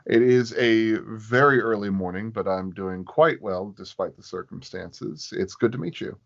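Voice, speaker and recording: A man chatting casually as an interview guest, explaining his work in a halting, rambling way. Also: fairly low pitch, gravelly. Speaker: sound designer, podcast guest. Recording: dull, lo-fi audio.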